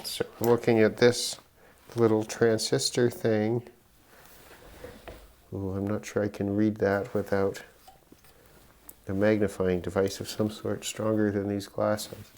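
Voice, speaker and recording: A middle-aged man giving unscripted commentary on an electronics teardown, halting and musing as he thinks aloud. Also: on the low side, little pitch movement, a little mellow. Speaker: electronics hobbyist presenter.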